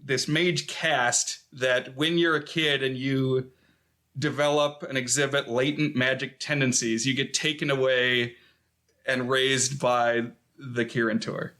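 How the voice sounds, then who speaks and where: A man's voice: medium pitch, little pitch movement, measured pace, bright, forceful tone. A man in a casual film discussion, explaining things while searching for the right words. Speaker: film podcast speaker.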